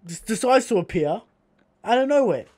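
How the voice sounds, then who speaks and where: A man's voice: high voice, animated, moderate pace, warm tone, dry recording. A man with an Australian accent, co-hosting a podcast in casual chat, blunt and swearing freely as he rants. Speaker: podcast co-host.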